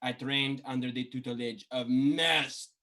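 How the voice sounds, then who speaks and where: A man's voice: mid-range voice, steady tone, moderate pace, sharp tone, lightly acted. A man playing a boastful young military cadet with a put-on Québécois French accent. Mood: blustering. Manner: boastful, blustering. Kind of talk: comedy sketch acting.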